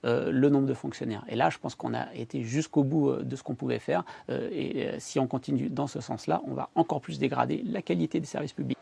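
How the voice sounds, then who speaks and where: A man's voice: mid-range voice, steady tone, brisk, creaky, hardly any pauses, mellow, husky, no room sound. A man, an expert interviewee, arguing emphatically and repeating words for stress. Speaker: economist interviewee. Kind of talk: interview argument.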